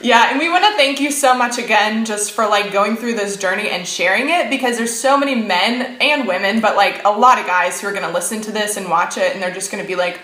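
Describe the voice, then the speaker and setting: A woman's voice: medium pitch, a little fast, hardly any pauses, bright, forceful tone, reverberant. A woman co-hosting a casual chat, encouraging and easygoing, using "like" a lot. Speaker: co-host.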